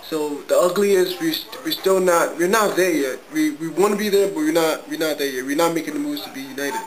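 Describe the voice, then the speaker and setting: A man's voice: on the high side, brisk, crowd noise, rough recording. A young man from Ghana, a student, talking earnestly and reflectively to a close webcam in a small bedroom. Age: young adult. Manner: earnest, reflective, repeats himself. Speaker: student interviewee. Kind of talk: casual talk to camera.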